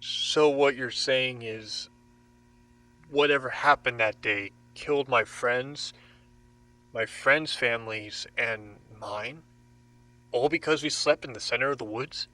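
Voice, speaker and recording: A man plays a bewildered prisoner in a horror audio drama. He speaks very slowly and hesitantly, in a tense, bright mid-range voice that sounds resigned to his fate. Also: mid-range voice, poor audio. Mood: confused, defensive. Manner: halting, hesitant. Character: bewildered, resigned male captive under interrogation.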